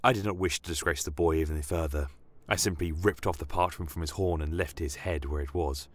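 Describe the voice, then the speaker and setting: A man acting Basim, a deep, grave fantasy commander. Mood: grave, haunted. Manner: grave, measured, storytelling. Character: Basim, solemn horned male army commander, defiant fantasy soldier. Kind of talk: dramatic first-person fantasy audio story.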